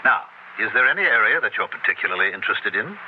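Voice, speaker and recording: An actor in a 1970s radio drama playing a polite, measured university professor with a secretly sinister side. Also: male voice, on the low side, sing-song. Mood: courteous, professorial. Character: smooth, courteous, secretly sinister male university professor of witchcraft. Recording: noisy, muffled 1970s radio broadcast.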